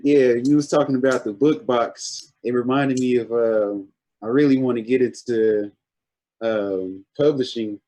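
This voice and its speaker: A man in his twenties, a guest artist talking casually on a video-call podcast, relaxed and searching for his words as he goes. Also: medium pitch, unhurried, low-quality recording. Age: 20s.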